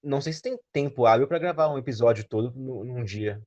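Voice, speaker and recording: A man's voice: medium pitch, a little fast, a little mellow, muffled recording. A Brazilian man thinking aloud in a casual roundtable discussion. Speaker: panelist on a fan roundtable.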